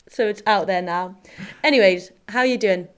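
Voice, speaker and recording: A woman's voice: mid-range voice, clear, resonant tone. A woman hosting a casual podcast chat in a friendly, teasing way. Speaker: podcast host and footballer.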